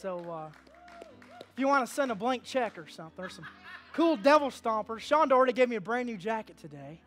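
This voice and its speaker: High-voiced preaching man in his thirties. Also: a little fast, faint music behind. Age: 30s. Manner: animated preaching, swinging from hushed to loud; call-and-response with the congregation. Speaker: pastor. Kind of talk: sermon.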